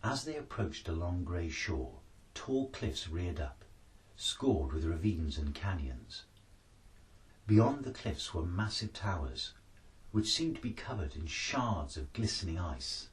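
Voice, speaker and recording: A man's voice: deep voice, very animated, slow, distant mic, rough recording. A man reading an adventure story aloud as a dramatic scripted narration. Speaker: author-narrator reading his book.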